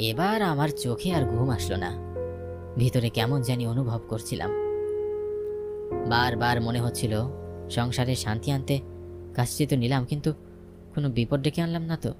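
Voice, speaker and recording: A man tells a scripted ghost story in the first person. His voice sits at a middle pitch and his storytelling is dramatic. Music plays clearly behind him. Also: moderate pace, pauses often. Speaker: story narrator.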